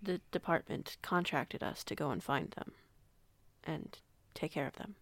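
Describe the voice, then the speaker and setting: A woman playing a composed, generous werewolf pack alpha in an audio roleplay, speaking in a measured, slightly formal manner. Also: low voice, no echo.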